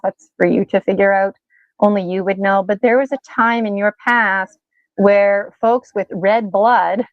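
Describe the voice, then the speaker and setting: A woman's voice: mid-range voice, no echo, muffled recording. A woman in her forties giving a casual oracle card reading, chatty and rambling, laughing often and acting out little voices. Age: 40s.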